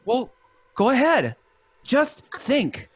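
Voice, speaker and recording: A woman voicing an eager, excited young boy in a live radio play, talking in a bright, breathless way. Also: high-pitched, slow pace, breathy edge, dry recording, exaggerated voice.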